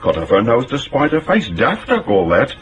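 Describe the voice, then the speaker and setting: An actor playing a genial, plain-spoken older English working man in a radio drama, chatting haltingly in colloquial speech. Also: mid-range voice, huge pitch swings, dull audio, soft background music. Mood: rueful, puzzled. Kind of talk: naturalistic radio drama acting.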